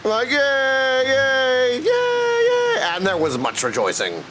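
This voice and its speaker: A man's voice: very high voice, wildly swooping pitch, slow, noisy background. A man chatting casually and goofily with viewers in slangy language while livestreaming a game. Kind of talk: casual livestream chat over gameplay. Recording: music behind the voice. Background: game music.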